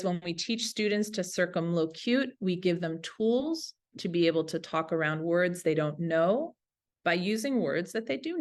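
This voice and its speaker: A woman teacher giving an instructional webinar talk to colleagues in an enthusiastic, informal way. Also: lowish voice. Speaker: language teacher presenting a webinar.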